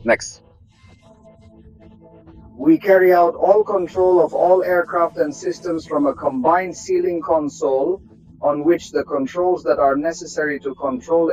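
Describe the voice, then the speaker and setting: A middle-aged man, a test pilot from Russia, giving a measured, technical tour of an aircraft cockpit. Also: fairly high pitch, a little mellow. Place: aircraft cockpit inside a hangar. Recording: slightly dull sound, faint music behind.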